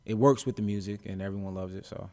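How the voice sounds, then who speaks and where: Laid-back young man, lowish voice. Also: steady tone, fast talker, dark tone. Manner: laid-back, easygoing, many fillers like um and uh. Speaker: rapper, interview guest. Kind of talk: casual interview chat.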